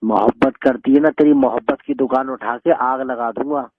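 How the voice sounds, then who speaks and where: A man's voice: mid-range voice, steady tone, normal speed, no echo. A man argues heatedly on the phone, scolding and threatening in colloquial speech. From Bareilly area, Uttar Pradesh, India. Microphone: telephone. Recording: muffled lo-fi phone call recording.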